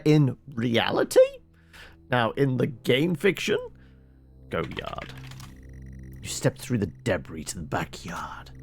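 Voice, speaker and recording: Creaky-voiced man reading a text game aloud. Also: low voice. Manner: halting, muttering typed commands, dramatic when reading aloud. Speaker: streamer playing a text adventure game. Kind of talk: let's play, reading game text aloud with casual asides.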